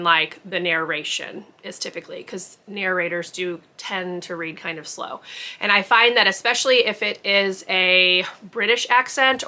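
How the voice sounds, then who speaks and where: Woman book vlogger, casual and chatty, bright tone. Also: fairly low pitch. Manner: chatty, rambling, frequent ums and likes. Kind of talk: casual chat to camera.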